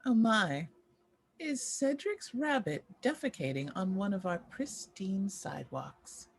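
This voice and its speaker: A woman playing a bitter, sharp-tongued former child star in a drama table read. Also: mid-range voice, lively intonation, a little slow, vocal fry, slightly rough, full character voice. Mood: mock concern.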